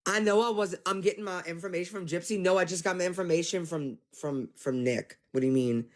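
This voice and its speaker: A man giving casual livestream commentary, exasperated and animated, talking straight to his viewers. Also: sits high, fairly quick. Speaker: commentary streamer.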